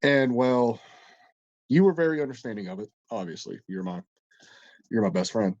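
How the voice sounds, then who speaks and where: A man's voice: mid-range voice, lively intonation, moderate pace, darkish tone, no echo. Man, stuffed-up nose, casual rambling podcast talk, profane, dry dull audio. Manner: rambling, profane, with frequent restarts. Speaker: podcast co-host.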